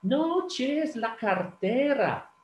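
A man's voice: very high voice, expressive, slow, roomy sound, dull audio, pitched above the performer's own voice, full character voice. A man voicing an exasperated woman caller with a Southern Cone Spanish accent, insisting over and over. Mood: insistent. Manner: insistent, repeating herself.

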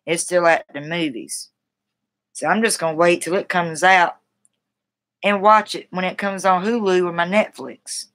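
A woman's voice: fairly low pitch, steady tone, moderate pace, a bit bright. Folksy middle-aged Southern woman chatting. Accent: Southern US, Carolina. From South Carolina, United States. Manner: folksy, rambling, colloquial. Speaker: livestream host. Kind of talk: casual chat, responding to viewers. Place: small room at home, indoors.

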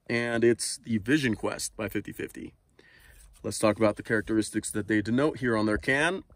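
A man's voice: medium pitch, no echo. Laid-back man vlogging by a lake. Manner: laid-back, upbeat, laughs easily. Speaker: hiking vlogger. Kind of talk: casual outdoor vlog. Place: outdoors by a mountain lake. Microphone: action camera held at arm's length.

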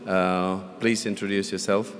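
A male moderator with a lowish voice keeps things moving with short, polite prompts. He speaks from a podium in a large hall, with long reverb trailing his words. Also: level tone, a little slow. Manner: brief, polite, businesslike. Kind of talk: moderating questions. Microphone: podium mic.

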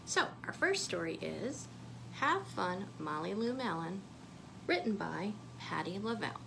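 A woman in her thirties, a children's librarian, reading a picture book aloud expressively with clear phrasing in a small room. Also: medium pitch, very animated, a little slow, background noise. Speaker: children's librarian, storytime reader.

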